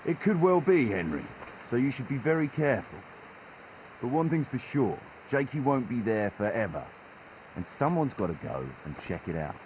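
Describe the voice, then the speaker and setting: An actor playing a gruff, wary patrol chief in a medieval video game, speaking bluntly and with command. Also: male voice, medium pitch, big pitch swings, moderate pace, darkish tone, slight background noise, close to an everyday voice, low-quality recording. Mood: grave, commanding. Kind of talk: naturalistic video game acting.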